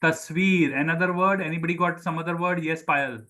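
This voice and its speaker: A man with an Indian accent and a somewhat high voice leads an online language lesson. A native Sindhi speaker, he repeats words for his pupils and explains them as he goes. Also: steady tone, brisk, bright, forceful tone. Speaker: Hindi teacher.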